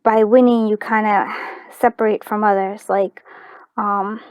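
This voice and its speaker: A woman hosting a casual tarot card reading for viewers, chatty and informal, often pausing to think aloud. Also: medium pitch, flat delivery, a little mellow, no room sound. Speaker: tarot card reader and channel host.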